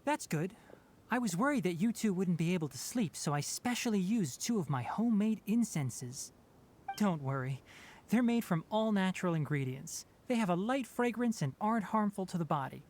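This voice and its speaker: An actor playing a calm, principled young forest ranger and scholar in a video game, speaking in a measured, articulate, earnest way with a touch of dry sass. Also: male voice, high-pitched, slight background noise. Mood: friendly, attentive. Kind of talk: scripted video game voice acting.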